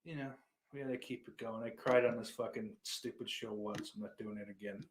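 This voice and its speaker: A man's voice: on the low side, fairly even intonation, brisk, a little hiss or hum. A man hosting a podcast, chatting casually and answering listener comments in a blunt, profane way. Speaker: podcast host.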